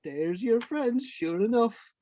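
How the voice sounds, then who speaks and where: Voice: medium pitch, expressive, takes it slowly, mellow, dry recording, highs cut off. An actor playing a marooned, half-mad old pirate castaway in a radio play, rambling and repeating words in rustic sailor speech. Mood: confiding. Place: indoors, remote online reading. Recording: dry video-call recording.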